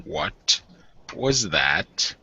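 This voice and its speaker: A man's voice: very deep voice, sing-song, drawn-out words, pushed and bright, slightly rough, lo-fi sound, pitched below the performer's own voice, full character voice. A man voicing a brutish but courteous werewolf warrior bridegroom in a tabletop game, speaking tersely and formally. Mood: stern, annoyed.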